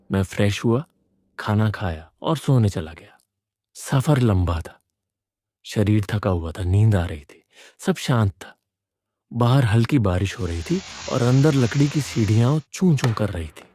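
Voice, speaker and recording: A man narrating a scripted first-person horror story in a suspenseful, deliberate manner. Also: lowish voice, background noise.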